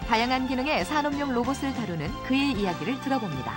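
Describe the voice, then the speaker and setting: A woman's voice: sits high, sing-song, fast talker. A woman reading scripted narration to introduce a profile. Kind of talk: scripted documentary-style narration. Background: music.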